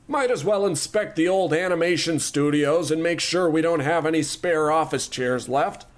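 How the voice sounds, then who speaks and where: A man's voice: a little high, moderate pace, echoey room, big-room sound, natural voice. A man playing a pompous, callous tycoon. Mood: smug, bored. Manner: pompous, self-absorbed, deadpan. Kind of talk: comedy radio play acting.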